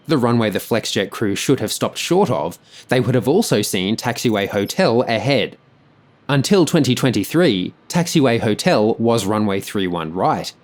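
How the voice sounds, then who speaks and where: A man reading scripted explanatory narration about an aviation incident. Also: fairly low pitch.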